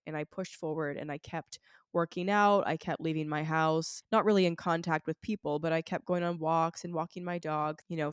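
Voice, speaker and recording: A woman podcast host, sick with a raw, hoarse throat, chatting candidly and with self-deprecating humor from home. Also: deep voice, dry recording, studio quality. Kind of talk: casual solo podcast chat. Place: at home, not in a studio.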